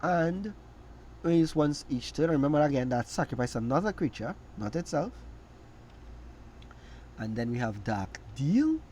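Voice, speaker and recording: Raspy-voiced man reviewing cards. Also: a little high, sing-song, warm tone, slight background noise. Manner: reads card text aloud, reacts with quick asides. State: hoarse from a cold. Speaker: card game reviewer. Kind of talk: casual card review commentary.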